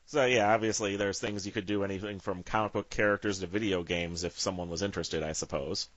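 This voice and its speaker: Low-voiced man interviewing casually. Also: steady tone, fast, a bit bright, no echo, dull audio. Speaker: podcast interviewer.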